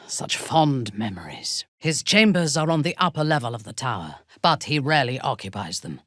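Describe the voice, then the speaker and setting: An actress playing a haughty, ruthless female drow elf in a fantasy video game, speaking in a low, husky, measured and coldly menacing voice. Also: big pitch swings, a bit bright, no room sound, clean studio sound, full character voice. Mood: dry menace. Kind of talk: scripted fantasy game voice acting.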